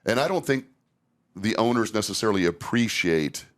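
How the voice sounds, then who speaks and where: A man's voice: fairly low pitch, animated, moderate pace, fairly bright tone. An older man hosting a casual podcast conversation, speaking candidly as an experienced safety trainer.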